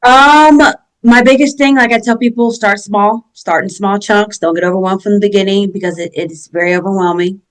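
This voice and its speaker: A woman's voice: medium pitch, fairly even intonation, a little fast, hardly any pauses, rough recording. A woman who is a professional organizer, giving earnest, encouraging advice in a casual podcast chat and repeating her points.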